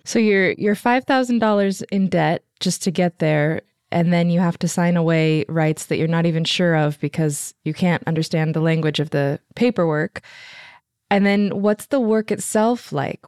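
A woman's voice: fairly low pitch, moderate pace, darkish tone, clean tone, no room sound, studio quality. A woman working as an interviewer, summing up and putting a question to her guest. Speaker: interviewer, reporter.

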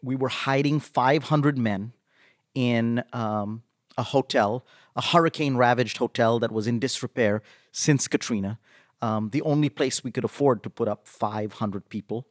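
A man recounting a story as an interview guest, speaking in a measured, halting way with pauses. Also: mid-range voice, no echo, clean studio sound. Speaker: interview guest, labor organizer.

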